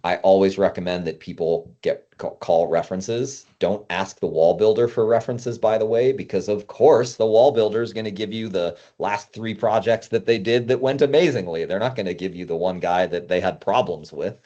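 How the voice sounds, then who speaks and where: This is a man with a deep voice, talking through advice in an informal, conversational way as a guest. His tone is dark and mellow. The recording sounds slightly dull and lo-fi. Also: steady tone, normal speed, few pauses. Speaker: podcast guest, climbing gym consultant. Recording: muffled, dull lo-fi audio.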